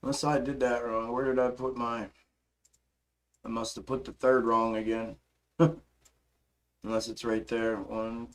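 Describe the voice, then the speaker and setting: A hoarse man hosting a stock market livestream, casually walking through charts and answering chat, calling viewers bro and man. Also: mid-range voice, little pitch movement, slow pace, long pauses. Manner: laid-back, calls viewers bro and man. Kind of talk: casual live chart commentary and chat replies.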